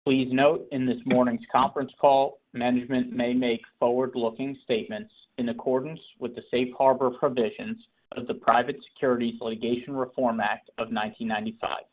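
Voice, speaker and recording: A man's voice: mid-range voice, level tone, fairly quick. A man working as an investor relations moderator, formally reading a scripted introduction and disclaimer. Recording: narrow conference phone line.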